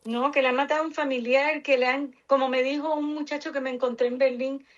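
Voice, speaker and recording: A woman's voice: sits high, fairly even intonation, fast, dull audio. A Cuban-born woman in her sixties with a Puerto Rican accent, speaking passionately and earnestly as an interview guest. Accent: Puerto Rican Spanish. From Cuba. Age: 60s.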